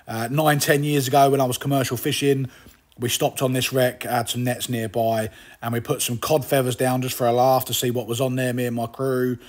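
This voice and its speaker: A middle-aged man from England talks over video as a fishing channel host. His delivery is casual and chatty, full of asides and small fillers, with an ordinary pitch for a man. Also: darkish tone.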